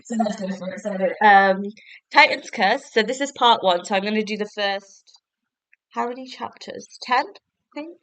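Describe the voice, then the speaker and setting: A woman's voice: medium pitch, moderate pace, a bit bright, no room sound. A young woman hosting her own podcast, chatting and rambling with lots of fillers, a little bunged up from a cold. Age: young adult. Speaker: podcast host. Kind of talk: casual solo book-review podcast.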